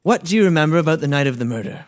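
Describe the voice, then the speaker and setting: A man's voice: a little high, animated, very fast, no room sound, lightly acted. A man playing a stern courtroom prosecutor in a scripted comedy, speaking in a formal, indignant manner. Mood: formal, probing.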